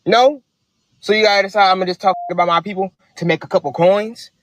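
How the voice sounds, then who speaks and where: A man's voice: high-pitched, steady tone, fast, fairly bright tone, no echo. A man in a played social media clip, delivering an angry, confrontational rant.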